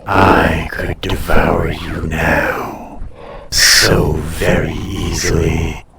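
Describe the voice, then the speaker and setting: Guy doing an ancient dragon for roleplay audio, low and pretty flat, dangerous but teasing, condescending, hushed breathy delivery. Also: very slow, crowd noise, heavily acted. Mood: menacing, musing. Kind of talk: slow intimate roleplay audio acting.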